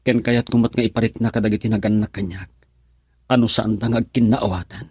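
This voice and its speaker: A man's voice: on the low side, steady tone, a little fast, a little mellow, breathy edge, no room sound, clean studio sound. An actor in an Ilocano radio drama playing an earnest, reassuring young man running away with his sweetheart.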